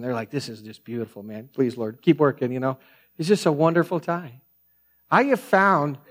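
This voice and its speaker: Soft-voiced preacher, fairly high. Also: male voice. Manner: earnest, conversational storytelling with frequent restarts. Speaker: pastor. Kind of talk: sermon.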